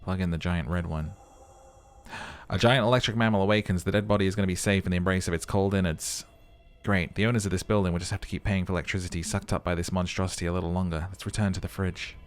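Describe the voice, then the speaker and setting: A man's voice: low-pitched, fairly even intonation, quick pace. A man reading game text aloud and chatting through a let's play, musing as he goes. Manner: chatty, musing aloud between narrated passages. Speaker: gaming commentator.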